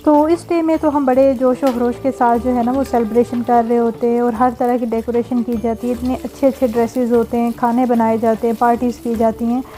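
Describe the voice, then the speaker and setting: Chatty woman vlogger, mellow voice. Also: a little high, level tone, brisk, hardly any pauses, rough recording. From Pakistan. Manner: chatty, rambling, leans on filler phrases; warm religious blessings and greetings. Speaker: cooking and family vlogger. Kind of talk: casual vlog voice-over.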